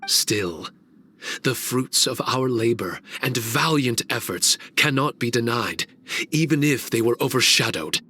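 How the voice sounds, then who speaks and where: Brief: a reflective shikigami servant in a game, measured and very slow, in a clear, bright voice. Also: male voice, medium pitch, lively intonation, slight background noise. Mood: solemn. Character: solemn, wistful male shikigami servant. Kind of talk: game cutscene voice acting.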